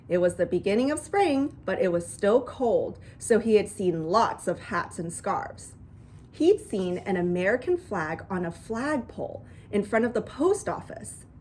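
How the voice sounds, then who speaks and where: A woman reading a children's book aloud in an expressive storytelling style. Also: mid-range voice, slight background noise. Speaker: storybook reader.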